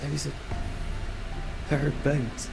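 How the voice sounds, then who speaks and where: A young village fisherman torn up with grief. His speech is rustic, anguished and halting, in the dramatic style of a fantasy video game, with music playing clearly behind him. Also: a little high, fairly even intonation, exaggerated voice, rough recording. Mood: subdued grief. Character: grief-stricken young village fisherman, a self-described lout.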